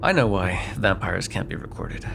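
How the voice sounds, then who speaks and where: A man's voice: low-pitched, expressive, moderate pace, gravelly, background noise, exaggerated voice. A man playing a sardonic, grudgingly apologetic vampire in an immersive roleplay, talking in a wry, hesitant, conversational way with some swearing. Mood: brooding, wry. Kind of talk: immersive ASMR roleplay acting, one side of a dialogue.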